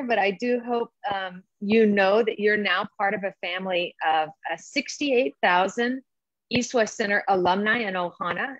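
A woman delivers informal, encouraging welcome remarks in a dry, close sound. Her phrasing comes with frequent pauses, and the audio is somewhat dull and lo-fi. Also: medium pitch, a bit bright, no room sound. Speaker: program director giving welcome remarks.

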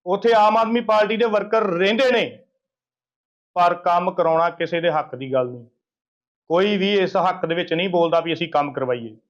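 A high-voiced man gives a political complaint to the press. He is emphatic and indignant, circling back over his points. The sound is a dull field recording. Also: normal speed. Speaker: local opposition party leader giving a media interview.

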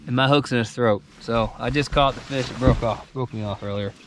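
A man's voice: medium pitch, brisk. A young man talking casually while fishing from a boat on a lake, filmed by an action camera mounted on the boat, with wind and water noise around him. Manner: chatty, thinking aloud while fishing. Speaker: angler filming himself. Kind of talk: casual fishing vlog commentary. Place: outdoors on a bass boat on a lake.

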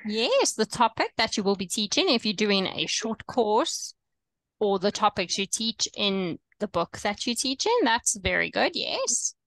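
A young woman with a South African accent, coaching online teachers over a video call in a relaxed, chatty way with frequent ums. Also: medium pitch, measured pace, creaky, dry recording, poor audio. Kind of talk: webinar presentation.

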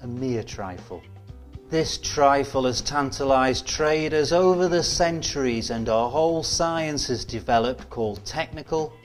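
A middle-aged man presenting scripted instructional narration in a measured, explanatory way, posing rhetorical questions. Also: medium pitch. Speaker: presenter of an educational film. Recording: music bed behind studio voice. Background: instrumental music.

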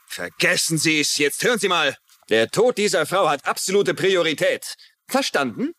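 A man's voice: a little high, sing-song, normal speed, a bit bright. An actor playing a brusque, demanding man of local importance in a dubbed crime series. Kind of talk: dubbed TV crime drama dialogue.

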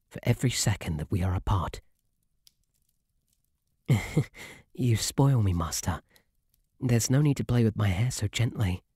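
A man acts out a shy male bunny butler with a British accent, soft and breathy. He talks slowly and formally, deferring and stammering in a flustered way. It is an intimate ASMR roleplay, recorded close and dry. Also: fairly low pitch, no echo. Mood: contented, tender. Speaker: roleplay audio performer. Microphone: close, dry microphone.

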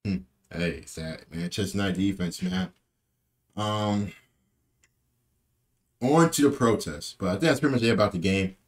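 A young man with a low, deep voice talks through a football match in a loose, rambling way. He repeats himself and drops in plenty of fillers, speaking from a small room into a desk webcam. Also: moderate pace, pauses often. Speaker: football fan vlogger. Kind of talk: casual post-match reaction.

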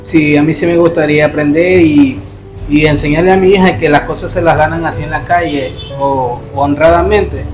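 A young man playing a poor young worker who is soft-spoken and tearful, in a staged charity video. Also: on the high side, fairly even intonation, lightly acted. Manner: quiet, halting, emotional. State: crying. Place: indoor room. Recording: echoey room with music behind.

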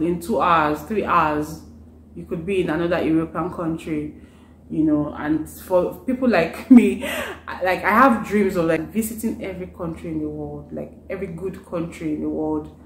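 A young woman with a Nigerian accent, chatting casually to the camera from her living room and saying "like" often. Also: on the low side. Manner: chatty, says "like" constantly, gestures a lot. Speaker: vlogger. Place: living room with a sofa, indoors. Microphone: camera in front of her. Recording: roomy sound with faint background hum.

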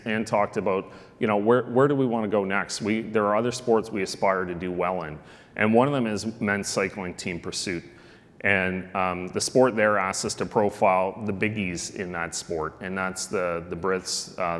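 A man's voice: on the low side, in a large hall. A middle-aged man giving a conference talk at a lectern, conversational, with frequent ums and uhs. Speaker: keynote speaker on sports analytics. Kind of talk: conference presentation. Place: large conference hall, at a lectern. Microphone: lectern microphone.

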